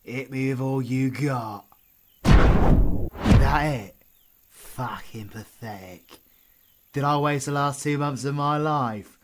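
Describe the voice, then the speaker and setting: A man's voice: mid-range voice, slow pace, long pauses, slight background noise, natural voice. A British man playing a cocky, sarcastic, menacing supervillain in an audio roleplay. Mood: gleeful, goading. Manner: sarcastic, theatrical, foul-mouthed British slang. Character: cocky, taunting supervillain, flippant yet menacing killer. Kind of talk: ASMR audio roleplay acting.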